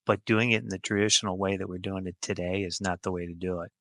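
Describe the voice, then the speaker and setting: A man's voice: sits low, steady tone, quick pace, fairly bright tone, no room sound, studio quality. A middle-aged or older American man answering interview questions in an informal, plain-spoken and emphatic way. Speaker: podcast guest, healthcare executive.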